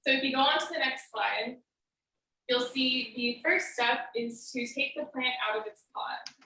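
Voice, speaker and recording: A woman with a fairly high, bright voice gives an instructional speech. She explains step by step in a casual, student-presenter way. The sound is very echoey and a little distant from the microphone. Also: a little slow. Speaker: student giving a demonstration speech. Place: indoor room on a recorded video meeting.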